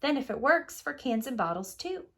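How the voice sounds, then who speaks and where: A woman's voice: high voice, very animated, moderate pace, a bit bright, voice pushed up, exaggerated voice. A woman voicing a keen, earnest young bear cub in a children's storybook. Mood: eager. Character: keen, idea-filled young bear cubs.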